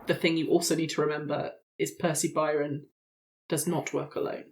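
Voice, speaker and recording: A woman voicing a defiant, threatening heroine in a tabletop game, with stern, deliberate, formal declarations. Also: deep voice, little pitch movement, background noise. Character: defiant, threatening female avenger of the good.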